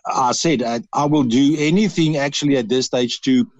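Male caller with a South African accent, earnest and halting. Dry, slightly muffled line. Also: medium pitch, no echo. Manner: earnest, halting, with frequent restarts. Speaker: radio caller. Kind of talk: radio interview.

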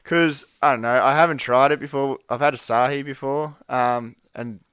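A young man hosts a relaxed podcast chat. His voice is smooth and clear, and he rambles with lots of fillers and restarts. The sound is dry and close. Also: mid-range voice, normal speed, dry recording. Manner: rambling, hesitant, many fillers. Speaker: podcast host. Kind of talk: casual chat.

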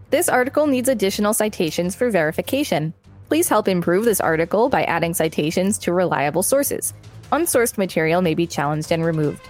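A woman's voice: fairly low pitch, darkish tone. A woman co-hosting a podcast, reading an article aloud and adding casual commentary. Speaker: podcast co-host.

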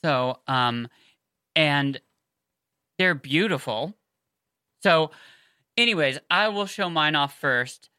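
A woman's voice: deep voice, expressive, slow pace, pauses often, pushed and bright, clear, resonant tone, no room sound. A woman co-hosting a fan podcast in a chatty, effusive, informal way. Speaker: podcast co-host. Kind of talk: casual fan podcast chat.

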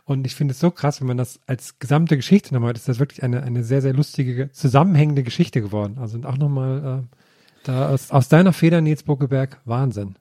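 A man's voice: mid-range voice, fairly quick, dark tone, dry recording, studio quality. A man co-hosting a casual chat, hesitant and repeating himself as he goes. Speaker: co-host.

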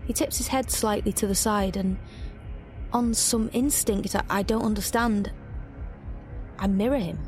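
A woman's voice: medium pitch, fairly even intonation, measured pace, long pauses, darkish tone, natural voice. A woman playing a reflective, wry young heroine, narrating her own story intimately in an audio drama. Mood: tense, wondering. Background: music.

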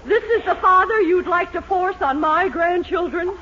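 A woman's voice: very high-pitched, animated, moderate pace. Stern sitcom mother, emphatic and scolding, widely swinging intonation, dull vintage radio sound with audience. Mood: scolding, firm. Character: stern, sharp-tongued, disapproving middle-aged mother of a sweetheart. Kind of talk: 1940s radio comedy acting. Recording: dull old radio recording, audience, hiss.

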